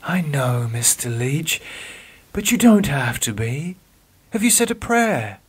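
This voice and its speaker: A man doing a gentle, soothing night nurse. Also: mid-range voice, big pitch swings, slow pace, breathy edge, voice pushed up, full character voice. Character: kind, calm female night nurse.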